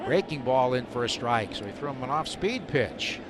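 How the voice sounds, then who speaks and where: A man's voice: medium pitch, expressive, normal speed. A man calling a live baseball game on the radio as a play-by-play announcer. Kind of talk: live sports commentary. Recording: noisy live ballpark radio broadcast. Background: stadium ambience and noise.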